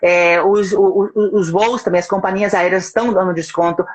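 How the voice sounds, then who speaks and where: A man's voice: sits high, fairly even intonation, moderate pace. A man from Espírito Santo, Brazil, with a slight Spanish tinge to his Brazilian accent, speaking as a language school representative in a casual interview. He is agreeable and often stops to restart his phrases. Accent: Brazilian Portuguese with a slight Spanish tinge. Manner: agreeable, with many hesitant restarts. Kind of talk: casual live-stream interview. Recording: faint background noise on a video call.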